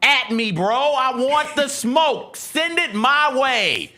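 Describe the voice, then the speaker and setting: A man's voice: extremely high pitch, lively intonation, slow pace, pushed and bright. A man co-hosting a casual sports talk show, talking in combative, slangy banter with mock rants and swearing.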